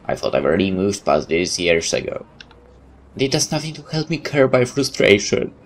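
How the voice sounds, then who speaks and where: A man hosting a let's play, reading a visual novel aloud with expressive storytelling and casual asides. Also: lowish voice, moderate pace, slight background noise. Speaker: let's play host and narrator. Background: game music.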